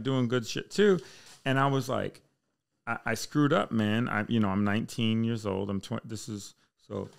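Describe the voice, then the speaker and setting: A man's voice: medium pitch, gravelly. An American man chatting casually and candidly as a podcast guest, with slangy, bro-heavy speech. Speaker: podcast guest, military fire chief.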